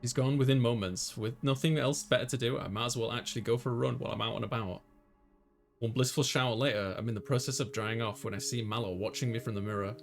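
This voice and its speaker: A man voicing an easygoing young student who narrates a visual novel in the first person, measured and conversational. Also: mid-range voice, steady tone, vocal fry, soft background music, close to an everyday voice. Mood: descriptive.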